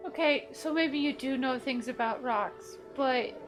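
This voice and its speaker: A woman gives casual game commentary in a high voice. She is teasing and jokey, speaks at a slow pace, and has faint music behind her. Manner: joking, teasing. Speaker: gaming video host.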